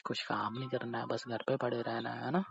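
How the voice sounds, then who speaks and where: A man with a husky voice chatting casually and intimately, teasing and insistent, often pushing back with questions. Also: medium pitch, level tone, a little fast, fairly bright tone. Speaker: husband. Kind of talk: intimate casual chat, playful bickering. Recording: dull lo-fi call-style recording.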